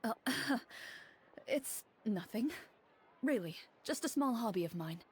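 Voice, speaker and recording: A woman voicing a composed female duelist, speaking calmly and formally in video game dialogue. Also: fairly high pitch, slight background noise. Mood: bashful. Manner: calm, measured, formal.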